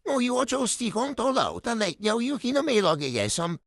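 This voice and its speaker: A woman's voice: on the high side, sing-song, brisk, no echo. A woman playing a dramatic, demanding boss with a pompous, theatrical manner in a comedic radio drama. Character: dramatic, demanding boss who talks in abstract riddles.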